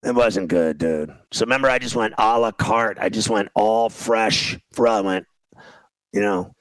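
A man in his fifties rambling through a casual comic rant as a talk show host, exasperated and self-deprecating, with a gravelly voice. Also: on the low side, a little slow, fairly bright tone, no room sound, low-quality recording.

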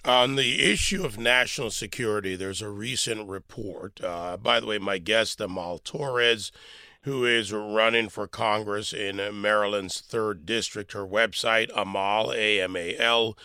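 Bright, smooth-voiced male interview host. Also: fairly low pitch, measured pace, no room sound. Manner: long, winding questions with many fillers. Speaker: talk show host. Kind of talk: interviewing a guest.

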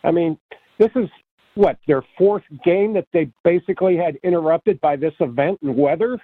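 A man from Ohio calling in to a sports radio show, chatting in a rambling, halting, friendly way over a phone line. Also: fairly high pitch, no room sound. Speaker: radio caller. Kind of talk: phone-in chat.

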